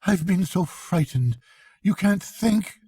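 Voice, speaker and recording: Small frightened mole, much higher pitch, breathy, feeble and plaintive; male reader voices it. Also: sing-song, a little slow, mellow, no echo, clean studio sound, full character voice. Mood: timid.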